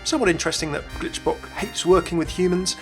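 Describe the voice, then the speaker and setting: A man's voice: high voice, quick pace. A man reading scripted narration as the presenter of a gaming video. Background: background music.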